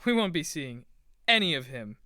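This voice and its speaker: An actor playing an excitable, wry sidekick in an audio drama, eager and dramatic. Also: mid-range voice, normal speed, no room sound, studio quality.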